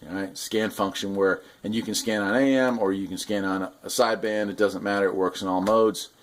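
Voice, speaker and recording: A man's voice: fairly low pitch, steady tone, a little fast. A man giving a casual hands-on product demonstration, explaining things in an informal, conversational way as a hobbyist host. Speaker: radio hobbyist host reviewing gear.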